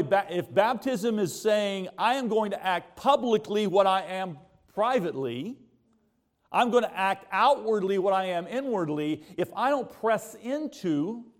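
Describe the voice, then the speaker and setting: An American man giving a sermon in a conversational way, asking rhetorical questions and repeating points for emphasis. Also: sits high. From United States. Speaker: preacher.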